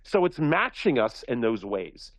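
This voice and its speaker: A man from Philadelphia talking in a casual radio chat, rambling and animated, with many restarts. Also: mid-range voice, a little slow, dry recording.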